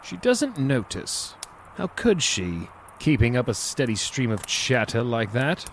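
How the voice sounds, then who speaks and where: An actor playing a gloating predatory monster who narrates a dark fantasy audio drama in a theatrical, savoring, sardonic manner. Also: male voice, mid-range voice, wildly swooping pitch, unhurried, a little hiss or hum. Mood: intrigued, scornful.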